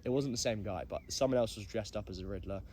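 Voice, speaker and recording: A young man from England, nasal from hay fever, hosting a casual solo podcast and rambling with self-deprecating asides and many pauses. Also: fairly low pitch, darkish tone, a little hiss or hum. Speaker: podcast host.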